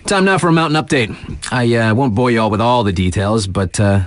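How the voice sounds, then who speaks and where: A man's voice: mid-range voice, brisk, no echo, lightly acted. An actor playing a laid-back, wry male radio DJ in a video game, talking in casual slang to hype up his listeners. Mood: stoked, laid-back.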